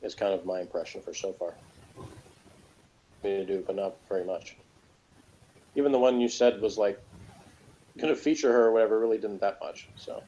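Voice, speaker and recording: A man with a slightly low voice gives a casual review of a TV show. He talks in a rambling, halting way and circles back on his words. There is some noise in the recording. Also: warm tone, dull audio. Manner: rambling, halting, repeats himself. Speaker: podcast reviewer. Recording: noticeable background noise.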